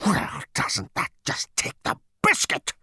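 A man's voice: on the high side, wildly swooping pitch, gravelly, sharp tone, no echo, clean studio sound, voice pushed up, heavily acted. A man voicing a huge, dim, blustering battle machine that bellows and is easily enraged, in an audiobook. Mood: blustering fury. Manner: bellowing, slow on the uptake. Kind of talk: audiobook character voice.

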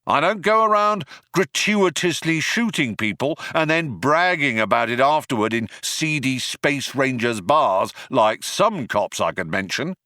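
A man voicing a male alien space cop in an audiobook, shouting threats in an earnest, aggrieved, self-justifying way. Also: mid-range voice, animated, unhurried, few pauses, no room sound, studio quality, pitched above the performer's own voice. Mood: aggrieved bluster.

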